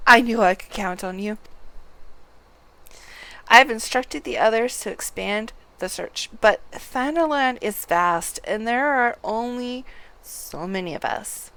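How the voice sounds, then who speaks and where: A woman's voice: fairly high pitch, lively intonation, slow, fairly bright tone, smooth, no echo, exaggerated voice, rough recording. A woman reading aloud the formal, grave lines of an earnest male quest-giver in a fantasy game. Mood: relieved, earnest.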